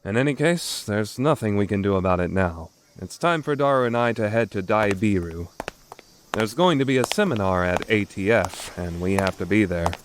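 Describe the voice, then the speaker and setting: A man playing a grandiose, theatrical young mad scientist in an anime visual novel fan dub, declaiming pompously and quick to take offence. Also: lowish voice, expressive, slight background noise, close to an everyday voice. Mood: musing, self-important. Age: young adult. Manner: pompous, declamatory, easily indignant.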